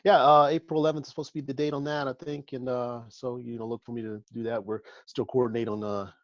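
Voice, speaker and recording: A man's voice: medium pitch, flat delivery, fast talker, dry recording. A man in his forties from Texas talks as a laid-back podcast guest who laughs easily. His voice comes through a dull, muffled remote line that is completely dry, with no room sound around it. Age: 40s. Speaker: bodybuilder guest. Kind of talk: casual interview chat.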